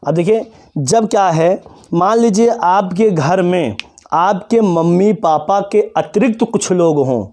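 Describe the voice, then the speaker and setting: A male teacher giving a classroom lesson to children, asking questions and answering them himself, and slowly spelling out example phrases. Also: high voice, darkish tone. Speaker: English teacher.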